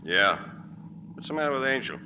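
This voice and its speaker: A man's voice: sing-song, a little fast, close to an everyday voice. An actor playing a tough, watchful gangster henchman with a brusque manner, in 1940s radio drama style. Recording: noisy old radio, engine rumble.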